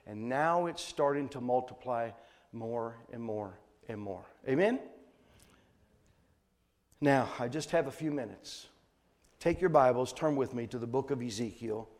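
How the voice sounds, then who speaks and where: A man preaching a sermon as a missionary teacher, emphatic, repeating phrases for weight and swinging between hushed line endings and loud stresses. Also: medium pitch, long reverb tail. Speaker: missionary preacher and Bible translation trainer.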